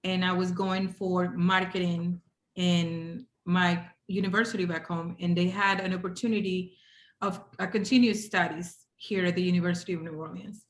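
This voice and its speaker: A woman's voice: lowish voice, steady tone, normal speed, a bit bright. Ecuadorian-accented woman in an echoey room. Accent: Ecuadorian Spanish. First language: Spanish. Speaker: podcast guest, hotel housekeeping director. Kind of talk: conversational interview storytelling. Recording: roomy, echoey sound.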